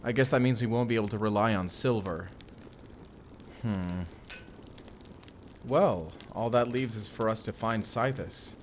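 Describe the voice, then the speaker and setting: Male, actor as resolute monster hunter for audio drama; lowish voice, earnest and measured, noisy ambience behind. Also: natural voice. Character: determined Spanish vampire slayer, adult man, earnest protector. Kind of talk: cinematic audio roleplay acting.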